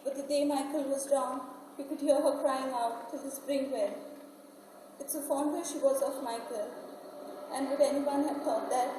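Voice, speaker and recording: Woman acting a high-voiced young daughter, very echoey. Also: fairly even intonation, moderate pace, natural voice. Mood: quiet pity. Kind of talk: amateur stage drama acting. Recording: echoey hall, distant mic, clear noise.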